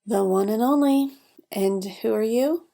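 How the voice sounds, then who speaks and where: A woman's voice: fairly high pitch, a little slow, vocal fry, mellow. A woman playing a genteel, evasive lounge singer in her thirties in a noir audio drama, polite and theatrical. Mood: self-assured, puzzled.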